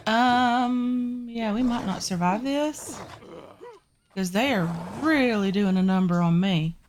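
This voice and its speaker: A woman's voice: fairly high pitch, takes it slowly, a little hiss or hum. A woman with a Southern US accent hosting a gaming video, congested from a sinus infection, chatting casually to her viewers with frequent ums. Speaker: gaming channel host. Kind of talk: casual game commentary.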